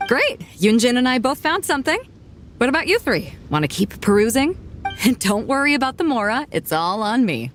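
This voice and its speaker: A woman's voice: on the high side, very animated, normal speed, faint music behind. A woman voicing a bold, generous female ship captain who talks casually and laughs easily, in a game cutscene.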